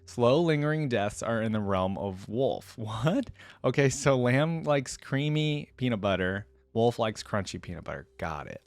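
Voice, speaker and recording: A man's voice: mid-range voice, expressive, a little slow, dry recording. A man giving casual reaction commentary, reading lore aloud and adding curious, informal asides as he goes. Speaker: reaction video creator.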